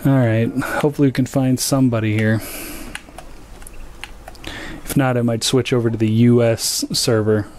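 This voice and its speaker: A man chatting casually and a little haltingly as he streams a video game. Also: medium pitch, flat delivery, darkish tone, a little hiss or hum. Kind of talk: casual gaming livestream chat.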